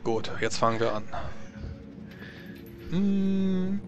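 A man running a tabletop combat as game master, talking casually and a bit haltingly as he narrates. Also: high voice, lively intonation, dull audio, noisy background. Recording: music bed behind voice. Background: background music.

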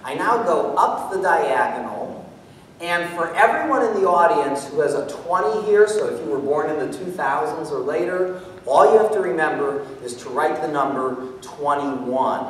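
High-voiced man presenting in an echoey hall. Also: normal speed. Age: middle-aged. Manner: animated, explaining step by step. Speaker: presenter demonstrating mental math. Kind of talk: live lecture-demonstration to an audience. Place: large auditorium stage, indoors. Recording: echoey hall from far off, background noise.

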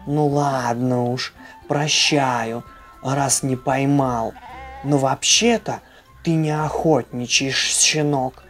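A reader acting out a grown she-cat from a children's storybook. She is haughty and stern yet pitying, lecturing slowly and importantly over music. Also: mid-range voice, fairly even intonation, natural voice. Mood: softening, pitying.

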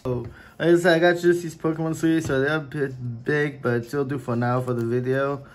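A young man whose first language is Portuguese, chatting casually at home in his gaming room, with lots of filler words and sudden excited bursts. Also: medium pitch, normal speed. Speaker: card opening vlogger. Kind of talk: casual unboxing chat. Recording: faint crinkling and desk noise.

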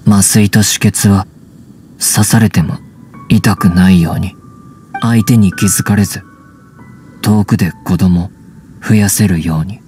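An actor playing a terse, wild young man raised by wolves, in halting, blunt short phrases for an anime game. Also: low voice, fairly even intonation, a little mellow, faint music behind. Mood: guarded, flat.

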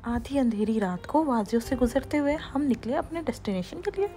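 A woman's voice: fairly high pitch, lively intonation, brisk, mellow. A woman in her thirties narrating her family's camping trip as a vlog voice-over, chatty and mixing in English phrases. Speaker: vlogger. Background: faint music under the narration.

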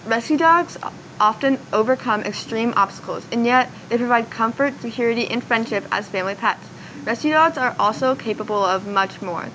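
A teenage girl reads school news in an earnest, scripted way. Her voice sits a little high. Steady noise runs under the recording. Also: moderate pace, poor audio. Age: teens. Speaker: student news anchor. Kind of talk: school news segment reading. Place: school TV studio, indoors.

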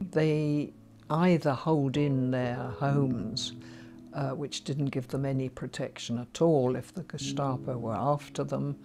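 An older woman from Germany giving documentary interview testimony, measured and reflective, with hesitations. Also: low-pitched. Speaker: Holocaust survivor interviewee. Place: book-lined study indoors.

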